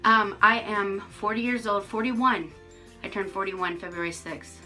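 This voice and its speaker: Woman in her forties, chatty casual vlogger, frank, bright clear tone, faint music underneath. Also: medium pitch, steady tone, unhurried, poor audio. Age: 40s. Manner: chatty, frank, many ums and restarts. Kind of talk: casual vlog update to camera. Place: rural home.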